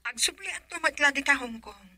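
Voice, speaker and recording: A woman's voice: high-pitched, expressive, a little fast, bright, forceful tone, no echo, heavily acted. An actress with an Ilocano accent playing a troubled woman in a radio love drama.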